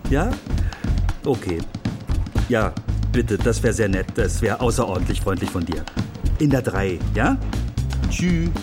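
An actor playing a flustered, fussily polite police officer in a German children's radio play, speaking hesitantly and repeating himself. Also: male voice, fairly low pitch, animated, a little slow, pauses often, over loud music. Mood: flustered, placating. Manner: hesitant, repetitive, fussily courteous.

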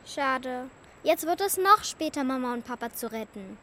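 A woman's voice: sits high, animated, normal speed, pushed and bright. A female performer in a children's radio play playing a determined, impatient young girl who speaks in a direct, childlike way. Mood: subdued.

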